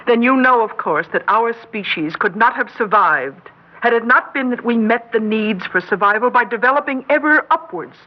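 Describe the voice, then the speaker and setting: Brief: adult actress as a clever schoolgirl schemer, high voice, girlish yet condescending, on a muffled old radio broadcast. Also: animated, normal speed, lightly acted. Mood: coolly superior. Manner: switches between gushing girlish and precise, condescending diction. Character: precocious schoolgirl plotting revolution, feigning giddy innocence, coolly superior. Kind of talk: old-time radio drama acting. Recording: muffled, hissy old-time radio recording.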